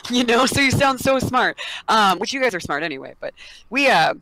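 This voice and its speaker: Young woman, bubbly, giggly, casual podcast host, bright tone. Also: a little high, sing-song, fairly quick, dry recording, rough recording. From Kentucky, USA. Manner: bubbly, giggly, greets viewers by name. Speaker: streamer hosting a podcast chat. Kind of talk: casual chat.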